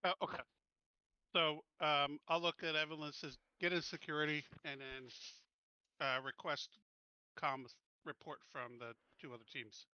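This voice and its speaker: A man's voice: fairly high pitch, fairly even intonation, moderate pace, pushed and bright, smooth, no echo, lo-fi sound. A man playing a tabletop roleplaying game, talking casually as he makes decisions.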